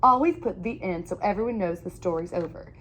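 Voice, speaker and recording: A woman reading a children's picture book aloud in an animated, expressive storytelling manner with emphatic phrasing. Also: on the low side, brisk, breathy edge, low-quality recording.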